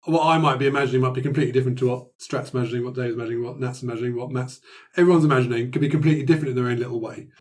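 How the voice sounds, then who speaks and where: Fast-talking man, casual and chatty. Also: mid-range voice, fairly even intonation. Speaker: tabletop game player. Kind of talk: casual post-game chat.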